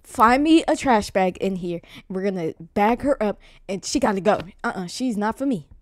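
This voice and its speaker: A woman improvising a comic online game roleplay, bossy and excitable, talking in slang. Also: mid-range voice, moderate pace. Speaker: roleplay video player.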